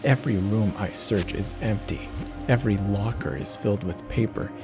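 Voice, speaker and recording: A man giving a theatrical, expressive dramatic reading of a first-person horror story, with dramatic pauses. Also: fairly low pitch, music in the background. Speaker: narrator of horror stories.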